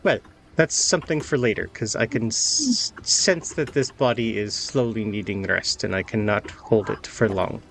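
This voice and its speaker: A man voicing an ancient, regal woman's spirit who speaks in measured, formal, cryptic phrases in a tabletop game. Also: lowish voice, gravelly, a bit bright, rough recording. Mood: solemn, weary.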